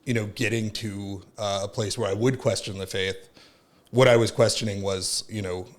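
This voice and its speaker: A man's voice: on the low side, steady tone, normal speed. A man in his thirties from Southern California, a podcast guest telling his story in a rambling, casual way full of fillers. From Southern California, born in Finland. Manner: rambling, many fillers like "like" and "right?". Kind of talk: casual reflective chat.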